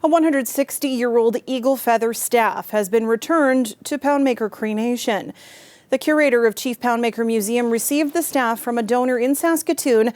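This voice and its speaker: A woman reading the news as anchor in a steady, formal way. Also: a little high, moderate pace, dry recording.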